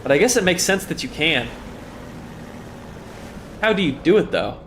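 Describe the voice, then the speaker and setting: A man's voice: fairly high pitch, very animated, moderate pace, fairly bright tone, slight background noise. Stuffy, rambling streamer guy. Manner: rambling, self-deprecating, apologetic. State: stuffy nose, tired. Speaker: game streamer. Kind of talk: casual live-stream commentary.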